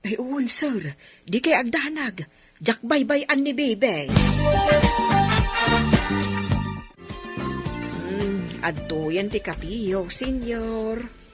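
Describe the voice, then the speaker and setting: A woman's voice: fairly high pitch, slow pace, over music. A woman playing a folksy, loyal household nanny in an Ilocano radio drama, exclaiming expressively with an Ilocano accent. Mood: deferential. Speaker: voice actor in radio comedy drama.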